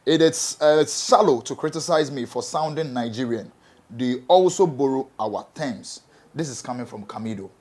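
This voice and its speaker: A man's voice: mid-range voice, lively intonation, moderate pace, a little mellow. A man with a Ghanaian accent hosting an entertainment talk show and presenting music news. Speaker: show host and MC.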